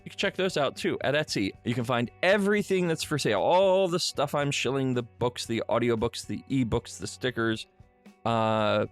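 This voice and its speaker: A man hosting a podcast solo, talking casually and informally to listeners in a self-deprecating way. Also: medium pitch. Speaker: podcast host.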